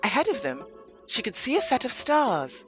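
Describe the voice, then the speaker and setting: A woman's voice: on the high side, wildly swooping pitch, normal speed, music in the background. A woman narrating a scripted children's story for an audio play.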